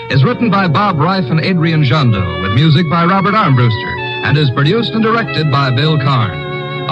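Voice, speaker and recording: A male radio announcer reading closing credits in a measured, formal way. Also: a little high. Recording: dull old radio broadcast with music. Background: music.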